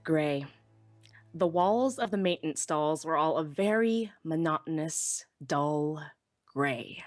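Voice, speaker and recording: A woman playing a shy, self-deprecating young pony technician who narrates her own story in an expressive, wistful, wry way. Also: sits low, slow pace, no echo, soft background music. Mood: weary, wistful. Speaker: first-person narrator. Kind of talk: dramatic live audiobook reading.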